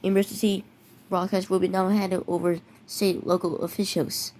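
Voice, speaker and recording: Young announcer for a homemade emergency alert scenario, reading halting warnings with many restarts, medium pitch. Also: female voice, flat delivery, darkish tone, low-quality recording. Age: teenager. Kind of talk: improvised fake emergency broadcast.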